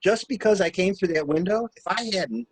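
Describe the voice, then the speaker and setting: A man acting a coolly insistent stranger who questions a suspect in a halting, probing way, in a dramatic mystery reading. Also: sits high, expressive, no echo. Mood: coolly curious.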